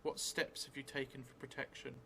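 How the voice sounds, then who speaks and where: A middle-aged man thinking aloud as he improvises a solo tabletop game, hesitant and musing with long pauses. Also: medium pitch. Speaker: solo role-player and streamer. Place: indoor theatre room, seated at a table. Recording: clear steady background noise.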